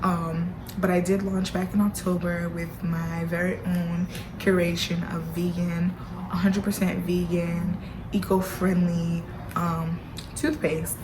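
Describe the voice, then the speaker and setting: A woman's voice: fairly low pitch, flat delivery, slow. A woman vlogger giving a casual, playful update straight to camera, full of asides to her viewers. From Haitian family background. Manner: chatty, playful, lots of y'all and baby girl asides. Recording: audible background noise.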